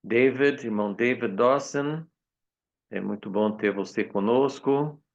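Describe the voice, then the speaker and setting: Slow, gravelly-voiced male host. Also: mid-range voice, muffled recording. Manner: slow and deliberate, with hesitant fillers. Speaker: host of the meeting. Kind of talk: hosting a prayer meeting.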